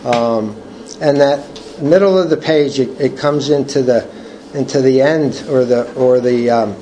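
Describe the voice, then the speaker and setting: An older man leading a recovery study group, reading from the book and sharing personal testimony in a halting, earnest way with many restarts. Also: medium pitch, dark tone, slight background noise, low-quality recording. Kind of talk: casual talk reading from a book.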